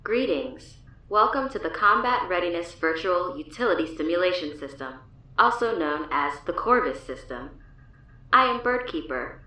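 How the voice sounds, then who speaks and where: Polite robot computer voice, formal announcements, super echoey and far off. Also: female voice, on the low side, lively intonation, moderate pace, pushed and bright. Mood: formal, detached. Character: courteous chrome robot combat simulation system. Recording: heavy echo, distant.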